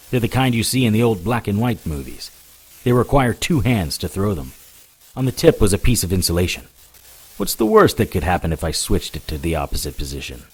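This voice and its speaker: A man's voice: on the low side, breathy edge, low-quality recording. A man playing a determined, hard-boiled witch hunter, narrating an audio drama in the first person. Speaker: lead character and narrator.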